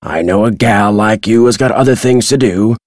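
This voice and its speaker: An older American man voicing a crotchety retired birdwatcher in a video game, folksy and gruff with a husky, dry delivery. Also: on the low side, normal speed, darkish tone, no room sound, natural voice. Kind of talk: video game character dialogue.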